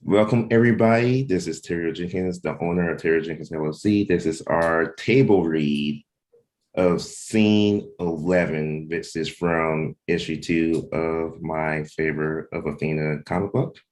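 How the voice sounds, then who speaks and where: A man hosting a livestreamed table read in a casual, informal way, pausing between short phrases. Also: extremely low pitch, steady tone, darkish tone. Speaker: host, comic book creator and narrator.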